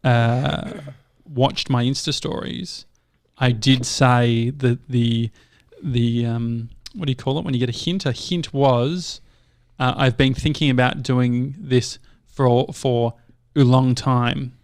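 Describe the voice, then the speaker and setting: A man's voice: medium pitch, steady tone, slow pace, hardly any pauses, dry recording. An Australian man co-hosting a podcast in casual banter, talking close to a studio microphone. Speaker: podcast co-host.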